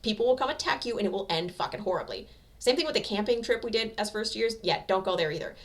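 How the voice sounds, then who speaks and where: A woman performs a brash young male hero from an anime fan story. He is gruff and bossy, swearing freely and talking with blunt impatience, in a mid-pitched voice. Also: expressive, fast, close to an everyday voice. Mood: urgent, bossy.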